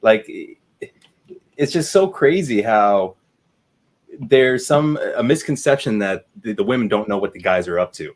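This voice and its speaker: A man in his thirties whose first language was Vietnamese, with a fairly low voice. He speaks as a livestream guest, earnest and reflective, hedging often with "like" while he works through his thoughts. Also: expressive, measured pace. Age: 30s. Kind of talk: casual discussion.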